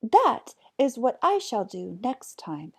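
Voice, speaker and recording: A woman's voice: on the high side, huge pitch swings, takes it slowly, slightly rough, full character voice. A woman voicing a good-natured, simple young lad in a storybook, agreeable and obliging. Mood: cheerful, agreeable. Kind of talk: storybook character voice.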